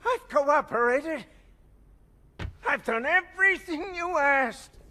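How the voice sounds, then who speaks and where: An anxious captive in a video game. His voice is very high and bright, and he pleads slowly and insistently. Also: expressive, exaggerated voice. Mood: pleading. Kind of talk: video game cutscene acting.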